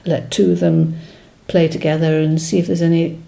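A woman's voice: low-pitched, flat delivery, moderate pace, gravelly, mellow. Thoughtful Welsh-speaking woman poet. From Wales. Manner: thoughtful, hesitant, many fillers and restarts. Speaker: poet, interview guest. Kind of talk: reflective conversational interview.

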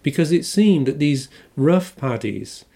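Measured older English man reading an essay. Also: fairly high pitch, lively intonation, vocal fry, mellow. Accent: English, with a faint Irish R. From Wales. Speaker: novelist.

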